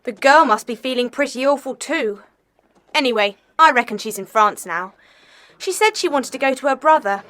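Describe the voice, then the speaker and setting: A woman's voice: sits high, animated, normal speed, a bit bright. A woman playing an eager schoolchild in a children's mystery audio drama, keen and chatty.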